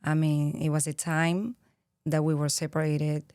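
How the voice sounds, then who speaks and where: A woman in her thirties from Venezuela with a Venezuelan Spanish accent, a podcast guest speaking earnestly and reflectively, pausing often with fillers. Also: deep voice, little pitch movement, mellow, clean tone, no room sound, studio quality. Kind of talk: interview conversation.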